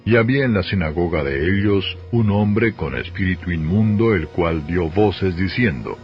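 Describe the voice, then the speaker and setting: A man with a Castilian Spanish accent reads scripture as a solemn, measured, formal narration. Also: sits low, lively intonation. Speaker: Bible reader. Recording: dull lo-fi audio, music behind. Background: music.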